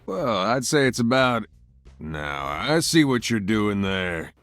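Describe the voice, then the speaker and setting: Casting: laconic game gunslinger, lowish, drawling, wry, close dry sound. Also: male voice, big pitch swings, takes it slowly, a bit bright, no room sound, heavily acted. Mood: amused. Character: laconic, easygoing cowboy gunslinger. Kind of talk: video game character acting.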